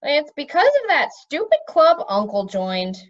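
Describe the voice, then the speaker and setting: A woman's voice: high-pitched, big pitch swings, unhurried, lo-fi sound, voice pushed up. A woman voicing an eager, blunt young boy prince who speaks up insistently, in a storybook reading. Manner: insistent, outspoken.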